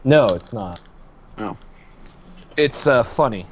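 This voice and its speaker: A man's voice: medium pitch, expressive, takes it slowly, slight background noise. A man co-hosting a livestream, chatting and joking in a casual, upbeat way. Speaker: co-host on the stream. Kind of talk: casual chat.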